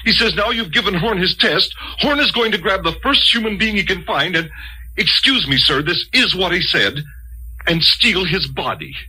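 High, piercing, agitated military-lab man. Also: expressive, moderate pace, bright, forceful tone, breathy edge, exaggerated voice, rough recording. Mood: flustered. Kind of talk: 1950s radio drama acting. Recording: old 1950s radio recording, faint music.

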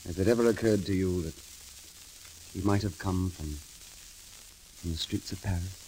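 An actor playing a bold, eloquent rogue lord in a declamatory 1930s radio drama style. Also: male voice, sits low, normal speed, darkish tone, slight background noise, natural voice, rough recording. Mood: wry, quiet. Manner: declamatory, theatrical. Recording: old 1930s radio broadcast recording.

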